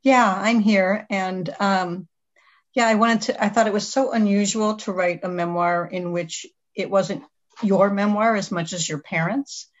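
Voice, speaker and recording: A woman's voice: mid-range voice, steady tone, a little slow. A woman asking a casual question as an audience member, on a dull lo-fi video call.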